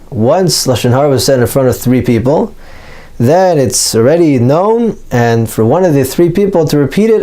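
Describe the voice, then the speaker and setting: A man's voice: medium pitch, mellow. A man teaching Talmud in an explanatory lecture, working through source texts with rhetorical questions and Hebrew and Aramaic terms mixed into his English.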